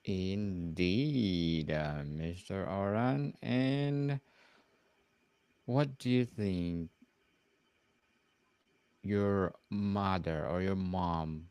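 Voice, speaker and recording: A man's voice: deep voice, extremely slow, long pauses, a little mellow, smooth, no echo. A man hosting a book podcast who asks his questions formally and politely, calling his guest mister. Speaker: podcast host. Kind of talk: interview hosting.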